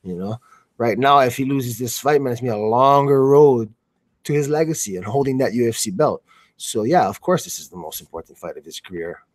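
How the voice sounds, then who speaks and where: Mid-pitched man co-commentating, dry sound. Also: animated, a little fast, no room sound. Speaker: fight co-commentator. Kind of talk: casual sports commentary.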